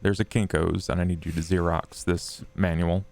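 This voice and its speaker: A man playing a tabletop roleplaying game, speaking in formal, measured wording as he gives instructions in character. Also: sits low, flat delivery, creaky, a little mellow.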